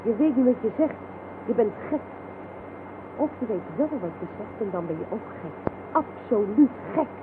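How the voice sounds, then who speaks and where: A noisy, band-limited radio play recording of an actress playing a captive woman. She has a fairly high voice and speaks bluntly, frightened yet defiant. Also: animated, moderate pace, narrow, tinny audio, close to an everyday voice. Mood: appalled. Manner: blunt, informal, challenging.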